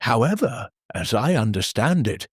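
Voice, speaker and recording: An actor playing a stiff, rule-bound male church minister who reads announcements in a formal, deadpan, bureaucratic way. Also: mid-range voice, moderate pace, husky, dry recording, studio quality. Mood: solemnly formal. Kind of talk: satirical audio drama.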